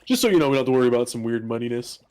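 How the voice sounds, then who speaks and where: A man's voice: mid-range voice, animated, racing, darkish tone, clear, resonant tone. A young man in his twenties co-hosting a live stream, joking casually, laughing often and trailing off mid-sentence. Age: 20s. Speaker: stream co-host. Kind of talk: casual chat.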